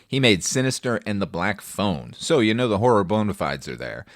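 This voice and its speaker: A man with a fairly low voice delivers a film review as a podcast host. He is wry and opinionated, swears freely, and is recorded close and dry. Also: big pitch swings, fairly quick, no echo.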